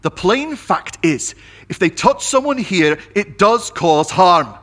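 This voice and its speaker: An actor playing an anxious, protective household employee in an audio drama, alarmed and argumentative. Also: male voice, sits high, very animated, slow, fairly bright tone, full character voice. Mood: worried, argumentative.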